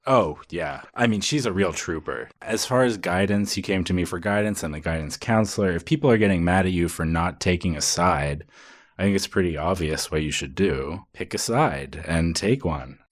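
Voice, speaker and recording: A very low-voiced guidance counselor played by a man in a comedy sketch. He is smug and gossipy, talking in a confiding, self-satisfied way as if he relishes every student's business. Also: moderate pace.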